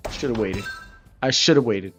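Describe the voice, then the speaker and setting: Male streamer, slow speech, repeats phrases, reacts to game, loud music behind. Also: on the low side. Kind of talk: casual livestream gaming commentary. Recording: music playing loudly behind voice.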